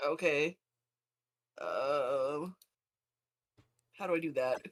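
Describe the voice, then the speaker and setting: A woman's voice: low-pitched, extremely slow. A woman chatting casually as a player in a tabletop game. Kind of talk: casual chat.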